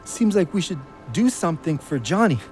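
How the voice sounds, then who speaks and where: A man's voice: fairly high pitch, very animated, moderate pace, a little mellow, soft background music. An actor in an audio drama playing an anguished, guilt-ridden young man, bitter and blunt in his slangy talk. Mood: quiet, hopeful.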